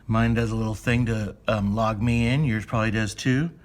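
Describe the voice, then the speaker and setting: A man's voice: lowish voice, steady tone, moderate pace. A man speaking as an elementary school teacher, chatting informally through step-by-step instructions for young children and thinking aloud.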